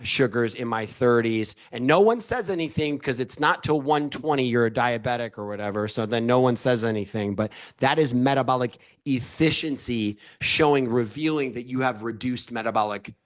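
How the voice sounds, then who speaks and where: A man with a mid-pitched voice talks as an expert podcast guest. He explains step by step in an emphatic, instructive manner, pressing his points so listeners keep up. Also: normal speed, few pauses. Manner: didactic, emphatic, checks listeners follow. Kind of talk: explanatory interview talk.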